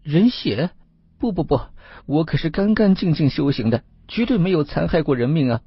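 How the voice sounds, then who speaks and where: Man voicing a panicky high-pitched pleading fox spirit. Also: expressive, normal speed, breathy edge, no room sound, dull audio. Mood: anxious denial. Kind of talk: audiobook character acting.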